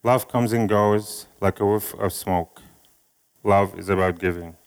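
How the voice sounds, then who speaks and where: A man from Syria whose first language is Arabic, giving a slow, measured reading of a speech and a poem at an event. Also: on the low side, fairly even intonation, gravelly. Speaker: speaker at an event.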